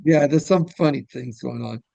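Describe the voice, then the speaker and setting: A man's voice: a little high, normal speed, vocal fry, warm tone, no room sound, lo-fi sound. A man hosting a casual interview in a rambling, repetitive way, often trailing off mid-thought. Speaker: interviewer and show host.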